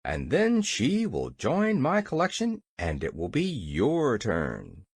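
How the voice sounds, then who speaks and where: A narrator as a blustering, excitable little gnome king. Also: medium pitch, slow pace, husky, no room sound, exaggerated voice. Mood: smug. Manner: pompous, roaring, exclamatory.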